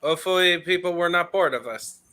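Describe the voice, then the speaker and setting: A man's voice: high-pitched, fairly quick, pushed and bright. A man in a casual group chat, hesitant with lots of ums. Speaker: podcast guest.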